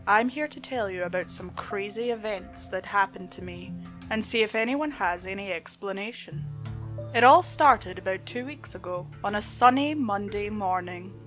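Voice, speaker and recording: A woman's voice: mid-range voice, fairly bright tone. A woman reading a scripted creepypasta story as host and narrator. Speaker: host and narrator of horror stories. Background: music.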